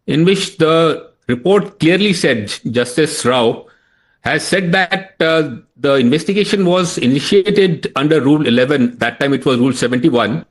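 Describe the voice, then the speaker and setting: A man with an Indian accent speaks as an expert on a news panel. His voice sits a little high, and his delivery is formal, hesitant and step by step. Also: normal speed, rough recording. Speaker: aviation expert panelist, captain. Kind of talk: formal TV debate commentary.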